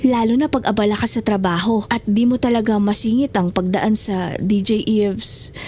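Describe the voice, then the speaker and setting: A woman's voice: medium pitch, steady tone, normal speed, a little mellow, natural voice. A woman playing a generous, chatty young woman, the boss's daughter, talking in a friendly, coaxing way in an audio drama.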